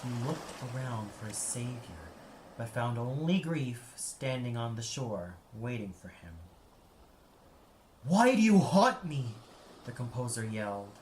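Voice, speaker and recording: A man's voice: mid-range voice, unhurried, a little mellow. A man narrating an audio play as a dramatic, scripted storyteller. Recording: echoey, with noise behind. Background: ambient sound effects.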